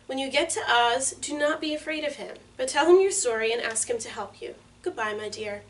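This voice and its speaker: A woman voicing a kind little old witch in a storybook read-aloud, with a gentle, slow delivery. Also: high voice, lightly acted. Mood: kindly. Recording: slightly distant mic, faint hiss.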